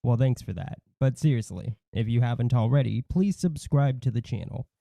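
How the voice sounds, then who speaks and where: A man with a very dark, mellow voice delivers scripted online commentary. His delivery is snide and mocking, and the sound is dry and close. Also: medium pitch, normal speed, vocal fry, no room sound. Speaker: YouTube channel host.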